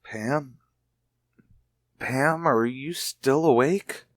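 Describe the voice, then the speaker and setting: A man's voice: mid-range voice, extremely slow, no echo, clean studio sound. A man acting as an easygoing, wry married amateur sleuth in a radio mystery drama. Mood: drowsy, teasing. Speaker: actor.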